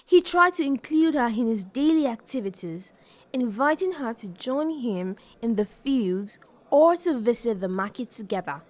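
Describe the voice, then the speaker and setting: A woman narrating a folktale in a calm, measured, scripted reading. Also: a little high. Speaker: storyteller.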